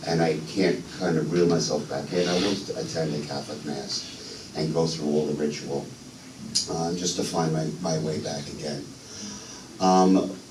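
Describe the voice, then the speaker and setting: A middle-aged man from New York giving an unscripted personal testimony as a guest speaker, halting with many ums and restarts. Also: low-pitched, darkish tone, distant mic, slight background noise. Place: church sanctuary. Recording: echoey church hall, voice from afar.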